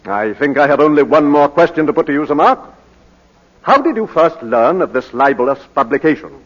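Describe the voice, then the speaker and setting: Courtroom barrister, British, formal and oratorical, highish husky voice, old radio drama. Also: male voice, expressive, fairly quick. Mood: measured, probing. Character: formal, courteous English barrister questioning his client. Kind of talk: 1940s radio drama acting. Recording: muffled vintage 1940s radio broadcast.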